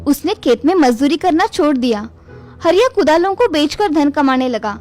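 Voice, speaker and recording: High-pitched woman narrating a children's story.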